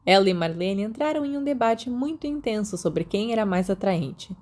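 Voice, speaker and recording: A Brazilian woman narrates fiction from a script in the manner of an audiobook. Her voice sits at a middle pitch with a mellow, relaxed tone. She reads as a storyteller, with a Brazilian Portuguese accent. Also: normal speed. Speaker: podfic narrator.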